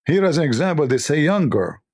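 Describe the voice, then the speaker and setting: Hoarse man, scornful lecturing commentary. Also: on the high side, very animated, fairly quick, darkish tone. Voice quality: non-native English grammar. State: losing his voice. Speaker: religious debate host. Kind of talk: polemical commentary reading texts.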